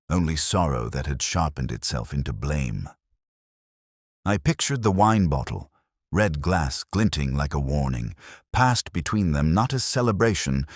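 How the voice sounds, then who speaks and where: A man reading a scripted first-person detective story in a measured, brooding, literary manner. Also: a bass voice, no room sound, studio quality. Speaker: narrator.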